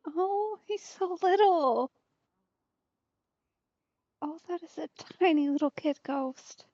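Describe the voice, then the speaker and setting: A woman's voice: very high voice, slow pace, a little mellow, dry recording, muffled recording. A woman doing casual, wry and understated commentary while streaming a game. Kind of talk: casual let's play commentary.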